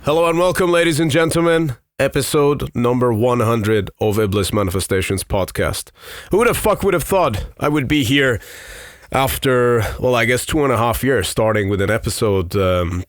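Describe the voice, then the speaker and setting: A man's voice: mid-range voice, normal speed, dry recording, studio quality. A man in his thirties from Iran, hosting his own podcast in a candid, rambling way with many fillers. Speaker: podcast host. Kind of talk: casual solo podcast talk.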